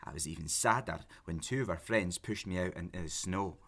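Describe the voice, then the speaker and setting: An actor playing an obsessive, pleading, lonely lovesick man in an audio drama, halting and intense as he justifies himself. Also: sits low, creaky, fairly bright tone, full character voice. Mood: obsessive, agitated. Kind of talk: naturalistic audio drama acting.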